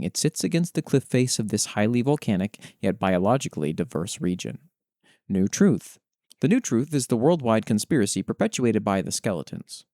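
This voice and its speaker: A man reads written lore aloud as a show's host, his low voice swinging widely in pitch. The delivery is expressive and dramatic, with casual asides, and the sound is close and dry. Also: no room sound. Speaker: host reading listeners' worldbuilding submissions.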